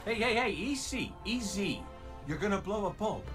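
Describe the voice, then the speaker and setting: An actor playing a wisecracking male robot gunslinger in a video game, full of quick sarcastic quips. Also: sits high, expressive, over music. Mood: teasing banter. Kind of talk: video game cutscene acting.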